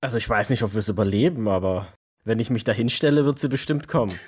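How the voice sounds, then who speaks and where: Ein Mann als wortkarger, aufgewühlter Vampir. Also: male voice, on the low side, quick pace, darkish tone, breathy edge. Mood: tense, stubborn. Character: agitated, brooding male vampire enforcer full of hatred. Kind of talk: hobby audio drama dialogue.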